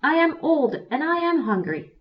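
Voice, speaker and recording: A woman's voice: high-pitched, sing-song, moderate pace, voice pushed up. A woman voicing an old, hungry female bear in a storybook tale, stern and pleading. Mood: commanding. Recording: distant, dull lo-fi recording.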